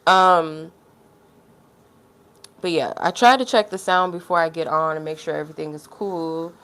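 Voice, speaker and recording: A woman with a somewhat low voice talks through a tarot livestream in a loose, rambling way, full of filler words. Her nose is stuffy and she sounds a little unwell. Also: normal speed, fairly bright tone. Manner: rambling, heavy use of "like" fillers. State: feeling unwell. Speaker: tarot reader. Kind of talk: casual livestream chat.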